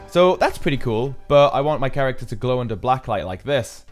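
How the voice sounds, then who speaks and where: A man's voice: medium pitch, animated, a little fast, soft background music. A man giving an upbeat, explanatory voice-over for a build tutorial, as the maker himself. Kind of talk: scripted tutorial narration.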